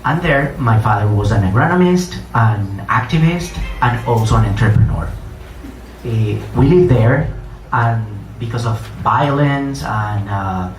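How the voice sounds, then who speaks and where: A middle-aged man from Colombia with a Colombian Spanish accent, speaking haltingly as he introduces and reads his writing to a live gathering. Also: mid-range voice, expressive. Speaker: writer and poet giving a reading. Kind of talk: public reading with personal introduction. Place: echoey room at a live reading event. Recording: roomy, distant mic, clear noise.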